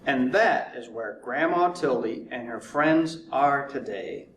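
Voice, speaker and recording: A man narrating a children's storybook in a deliberate way, drawing words out for effect. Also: medium pitch, expressive, a bit bright. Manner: deliberate, drawn-out repetition for effect. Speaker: fire chief reading to children. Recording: roomy echo, distant mic, faint noise.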